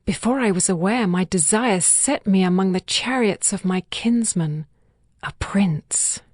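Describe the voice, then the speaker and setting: A woman's voice: mid-range voice, unhurried, no room sound, studio quality, natural voice. A woman playing a lovesick young bride who yearns for her beloved, in a tender, poetic scripture drama. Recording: music bed behind the voice.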